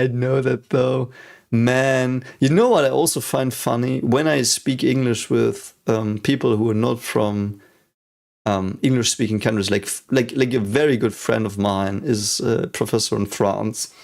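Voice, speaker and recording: Streamer, man in his thirties, German accent, gravelly voice, loose rambling chat. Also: mid-range voice, darkish tone. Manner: rambling, many fillers and restarts. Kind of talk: casual live-stream chat.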